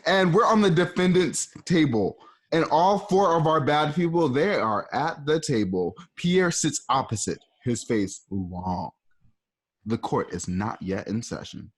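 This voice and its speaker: A man casually narrating the stage directions of an amateur radio play, chatty and animated. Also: on the high side, measured pace, breathy edge.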